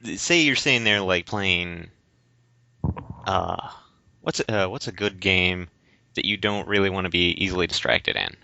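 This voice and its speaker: A man co-hosting a casual discussion podcast, explaining things in a halting, rambling way. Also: sits low, gravelly, sharp tone. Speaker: podcast co-host. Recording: dull, lo-fi voice recording.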